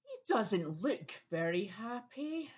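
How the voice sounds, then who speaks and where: A woman's voice: mid-range voice, big pitch swings, slow pace, darkish tone, dull audio. A woman playing a big, hairy, childlike monster who is eager to serve, in a children's audio play. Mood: concerned.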